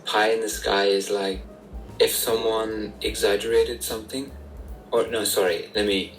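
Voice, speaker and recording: A man from Northern Ireland with a softened Northern Irish accent, chatting casually and patiently explaining things over a video call. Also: on the low side, flat delivery, measured pace, roomy sound, soft background music, low-quality recording. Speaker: stranger on a chat site. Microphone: online video call.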